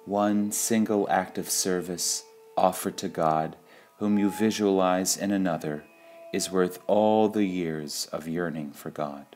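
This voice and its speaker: A man reads short spiritual sayings in a measured, deliberate devotional style, pausing between phrases. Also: deep voice, a little mellow. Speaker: reader of spiritual quotations. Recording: music under the voice. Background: background music.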